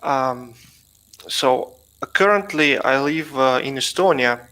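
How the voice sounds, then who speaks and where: A man's voice: medium pitch, slow, bright, forceful tone. A man from Russia, a guest on a video call, speaking casually with hesitant fillers and dry humor. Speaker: guest, plugin developer.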